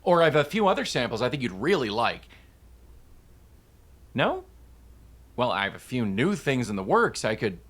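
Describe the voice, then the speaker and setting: A woman's voice: extremely low pitch, sing-song, moderate pace, pauses often, full character voice. A woman acting as a nervous parent in a naturalistic drama, hesitant and prone to stammering. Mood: anxious, apologetic. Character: nervous, halting parent, a struggling musician called Dad.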